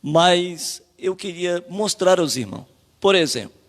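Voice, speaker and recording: A man's voice: high voice, expressive, measured pace. A man with a Brazilian accent preaching a Bible lesson, emphatic and repetitive, with rhetorical questions, in a reverberant hall. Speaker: church preacher leading the class.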